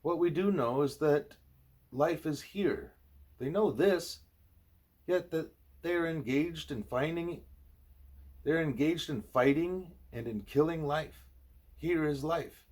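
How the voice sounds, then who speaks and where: Man voicing a scornful, higher-pitched guru. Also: takes it slowly, pauses often, darkish tone. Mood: scornful, insistent. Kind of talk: acted dialogue in an audiobook.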